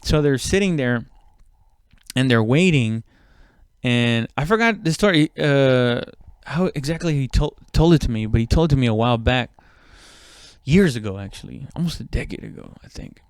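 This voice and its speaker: A man in his thirties tells a story in a casual, comedic way, with a mid-range voice that is smooth and clear. He rambles, doubles back on himself and swears freely. The sound is dry, with no room echo. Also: mid-range voice, expressive, normal speed. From Mexico, moved to the US at seven. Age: 30s. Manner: profane, rambling, self-interrupting. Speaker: stand-up comedian.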